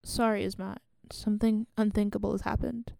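A woman acting in a radio play as a guarded genocide survivor and refugee, speaking in a measured, grave and earnest way. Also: medium pitch, level tone, vocal fry, dark tone, no echo. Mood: flustered, urgent.

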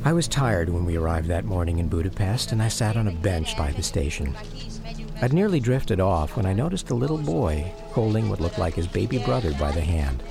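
Male narrator, very low voice, lively intonation, reflective scripted storytelling, music behind. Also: fairly quick, vocal fry. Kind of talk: scripted autobiographical radio drama narration.

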